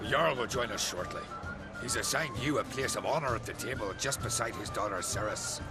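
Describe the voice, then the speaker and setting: An actor playing a courteous, deferential lord's retainer in a fantasy game, speaking formally. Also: male voice, mid-range voice, fairly quick, close to an everyday voice. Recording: loud music behind the voice.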